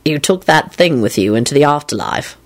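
A woman's voice: low-pitched, lively intonation, a little fast. A woman voicing a wry, teasing man in a story, with dry amusement.

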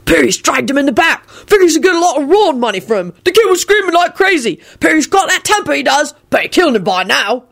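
A woman voicing a scarred, dour male ruffian in a story, grumbling in rough colloquial speech. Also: sits high, very animated, fast, hardly any pauses, fairly bright tone, voice pushed up, heavily acted.

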